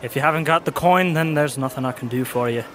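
A man playing a gruff, businesslike medieval toolmaker in an audio drama, blunt and stern as he haggles. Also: medium pitch, fast, music in the background, lightly acted. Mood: firm.

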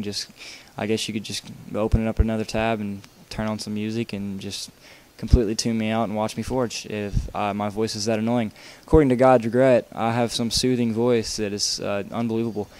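A man's voice: fairly low pitch, a little mellow, smooth. A young man talking casually over his gameplay while showing how to build a track, rambling with lots of fillers and restarts. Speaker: video game tutorial maker.

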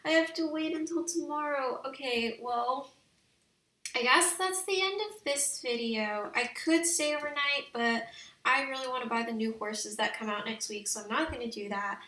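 High-voiced young woman, excitable gamer. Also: moderate pace, roomy sound, far from the mic, poor audio. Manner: excitable, gushing, slangy. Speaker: video game streamer and channel host. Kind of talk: casual let's play commentary.

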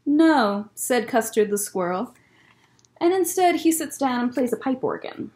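A young woman reads picture books aloud for children. Her voice sits a little high and swings widely in pitch. She is expressive and breaks off for casual asides about the pages. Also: unhurried. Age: young adult. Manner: expressive, child-directed storytelling. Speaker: children's librarian, story time host. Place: library room lined with bookshelves, indoors.

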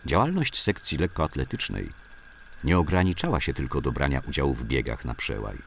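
A man narrates written recollections. His voice is extremely deep and gravelly, with lively rises and falls in intonation. There is slight ambient noise behind him. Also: brisk. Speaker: narrator reading memoirs. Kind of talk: scripted documentary narration of sports-club recollections.

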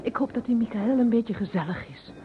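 A woman's voice: on the high side, lively intonation, a little fast, natural voice. An actress playing a playful, chatty Roman lady in a vintage Dutch radio play, with a light teasing manner. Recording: tinny, noisy old radio recording.

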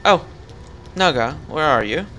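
A man's voice: on the high side, animated, unhurried, sharp tone, a little hiss or hum. A man chatting casually through co-op gameplay as he leads, jokey and often thinking aloud. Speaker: gamer leading a co-op session.

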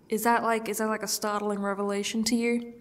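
A woman playing a defiant captive who taunts her torturer with bitter sarcasm in an ASMR roleplay, husky and often dropping toward a whisper, in halting, mocking phrases. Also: medium pitch, close to an everyday voice. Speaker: audio roleplay performer. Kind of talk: ASMR roleplay monologue acting.

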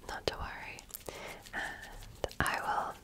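Slow, whispering ASMR woman. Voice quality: soft breathy whisper. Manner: gentle, attentive, reassuring clinician. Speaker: ASMR creator playing an alien medical examiner. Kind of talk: ASMR roleplay, whispered personal-attention exam.

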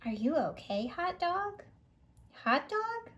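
High-voiced, slow, expressive woman reading to children. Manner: expressive, deliberate. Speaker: library storytime host. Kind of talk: picture book read-aloud for children.

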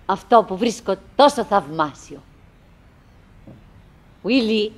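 An actress playing a wistful, lonely, chattering wife in a theatrical radio play, musing in fragments and repeating herself. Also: female voice, mid-range voice, lively intonation, close to an everyday voice. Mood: wistful, resigned. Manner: fragmented, repetitive musing with pauses and self-address.

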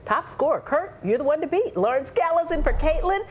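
A woman's voice: high-pitched, animated, brisk, darkish tone, a little hiss or hum. A woman anchoring live TV with friendly handover banter in a studio. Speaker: TV news anchor. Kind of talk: live morning news banter. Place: TV news studio.

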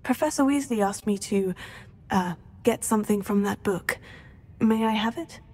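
A woman voicing a calm, kind student hero in a video game. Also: medium pitch, moderate pace, husky, close to an everyday voice. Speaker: player character, student.

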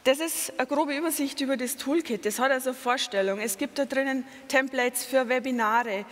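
A middle-aged Bavarian woman speaks at a conference with a fairly high voice, earnest and explanatory, often pausing on fillers and correcting herself mid-phrase. Her voice rings with a long hall reverb. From Lower Bavaria, Germany. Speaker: patient advocate speaker. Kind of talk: conference talk with slides.

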